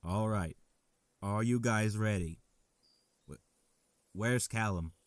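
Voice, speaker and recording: A man playing a gruff, weary and reluctant guide in a fantasy visual novel. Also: lowish voice, slow, no room sound. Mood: impatient. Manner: grudging, weary.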